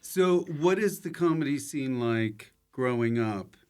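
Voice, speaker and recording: A man's voice: medium pitch, lively intonation, a little slow, darkish tone, smooth. An American man hosting a casual comedy podcast interview, asking questions and adding short remarks. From United States. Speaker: podcast host.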